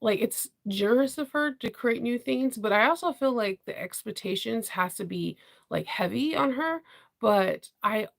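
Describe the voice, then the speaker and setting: Congested woman host, chatty, rambling, gravelly edge. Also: on the high side, lively intonation, normal speed. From Washington DC area. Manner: chatty, rambling, laughs often. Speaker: sewing channel host. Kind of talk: casual live chat with viewers.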